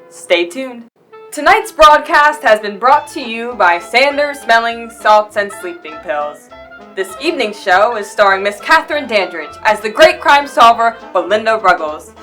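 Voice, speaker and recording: A woman plays an old-time radio mystery announcer, formal and theatrical. Her voice is bright and fairly high, with faint music behind it.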